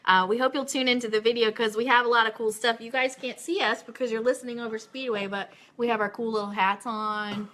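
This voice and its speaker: A woman with a forceful, bright voice pitched on the high side hosts a live radio show. She is chatty and excitable, gushing as she moves casually between news items and talk with whoever is there. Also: brisk, few pauses.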